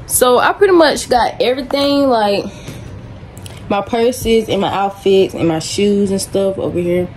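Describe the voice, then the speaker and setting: A woman's voice: mid-range voice, measured pace, darkish tone, a little hiss or hum. Laid-back young woman vlogging at home. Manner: laid-back, rambling, many fillers. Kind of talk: casual vlog chat to camera. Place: bedroom at home, indoors. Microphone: phone held or propped nearby.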